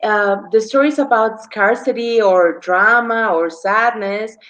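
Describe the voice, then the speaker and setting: A woman's voice: medium pitch, unhurried, fairly bright tone, low-quality recording. A woman hosting an interview show on a video call, thanking her guest effusively and speaking with frequent fillers. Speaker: show host.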